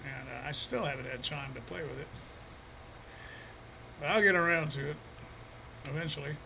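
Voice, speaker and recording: A man with a froggy, slightly hoarse throat, chatting casually with his viewers on a live stream while playing a farming game, with an easygoing, chuckling manner. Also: fairly high pitch, moderate pace, bright, forceful tone, slight background noise, rough recording. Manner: easygoing, chuckling, talks to chat by name.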